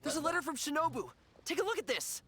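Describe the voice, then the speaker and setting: Brief: put-upon henchman in a game, highish voice, lively, pleading with the boss. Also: fast talker, noisy background. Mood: urgent, puzzled. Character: deferential gang underling, plaintive and put-upon. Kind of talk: video game voice acting. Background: game music.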